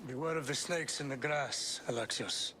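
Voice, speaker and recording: A man's voice: mid-range voice, normal speed, pushed and bright, slight background noise, natural voice. An actor playing a proud, stern middle-aged Spartan warrior father in a dramatic video game, grave, formal and commanding. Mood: regretful.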